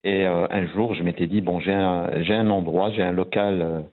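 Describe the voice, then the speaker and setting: A man's voice: deep voice, steady tone, moderate pace, narrow, tinny audio. A man in his fifties from Morocco, a guest talking about his work in a casual interview, with many fillers and restarts. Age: 50s. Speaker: guest, pharmacist and visual artist.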